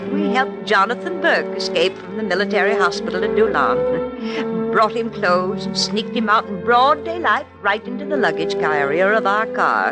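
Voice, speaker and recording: An actress playing an anxious woman reluctantly helping the resistance, worrying and expressive, in an old-time radio drama. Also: medium pitch, close to an everyday voice, poor audio.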